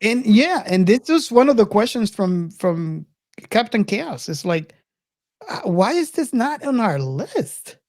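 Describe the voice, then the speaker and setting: A middle-aged woman hosting a casual film discussion, speaking reflectively and haltingly as she circles back over her phrases. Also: mid-range voice, sing-song, darkish tone, dry recording, clean studio sound. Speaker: podcast host.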